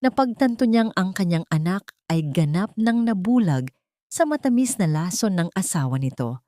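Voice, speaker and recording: A woman's voice: lowish voice, dark tone, no echo, clean studio sound. A woman storyteller reading a scripted dramatic narration.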